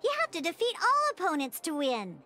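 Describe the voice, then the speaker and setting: Squeaky, very high-pitched childlike guide. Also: female voice, huge pitch swings, a little fast, pushed and bright, exaggerated voice. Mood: cheerful, instructive. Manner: perky, encouraging, exclamatory. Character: small bubbly excitable childlike girl companion and guide. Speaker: game tutorial guide. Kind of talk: animated video game character tutorial dialogue.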